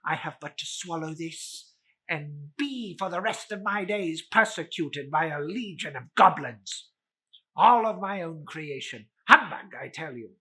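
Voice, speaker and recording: A woman's voice: deep voice, lively intonation, a little slow, bright, forceful tone, slightly rough, exaggerated voice. A woman voicing a stubborn, irritable old man who is rattled, stammering and blustering, in a dramatic storybook reading. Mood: scornful.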